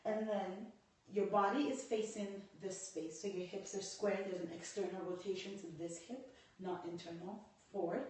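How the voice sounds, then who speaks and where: A hoarse woman with a cold, teaching a live yoga lesson and talking viewers through a pose as she demonstrates it. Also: medium pitch, fairly even intonation, moderate pace, darkish tone. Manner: talks through poses while demonstrating, stops to greet viewers. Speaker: yoga instructor. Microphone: camera set back across the room. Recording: echoey room, voice far from the mic.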